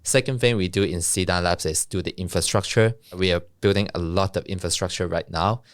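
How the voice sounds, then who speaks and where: A man's voice: deep voice, brisk, dry recording, clean studio sound. A young man from Hong Kong, a native Cantonese speaker with a Hong Kong accent, describes his work as a guest in an interview, casually and with frequent laughs. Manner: laughs often, casual and self-deprecating. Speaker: guest, software developer and co-founder.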